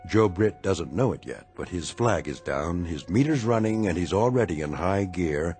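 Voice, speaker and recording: A man narrating a radio drama in a measured, ominous storytelling manner. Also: low voice, lively intonation, a little mellow, soft background music.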